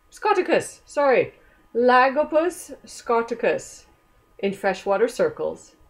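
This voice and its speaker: A woman reading a children's book aloud as a storyteller, gently inviting young listeners along with questions. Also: mid-range voice.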